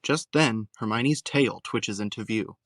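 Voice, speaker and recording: A man's voice: on the low side, a little slow, dry recording. Dry-sounding male audio drama narrator. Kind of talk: scripted present-tense audio drama narration.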